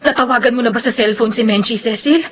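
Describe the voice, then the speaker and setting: A woman's voice: fairly high pitch, fast, soft background music, natural voice. An actress playing a tearful, outraged mother grieving a murdered daughter in a radio drama, wailing and pleading. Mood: worried. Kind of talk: Tagalog radio drama acting.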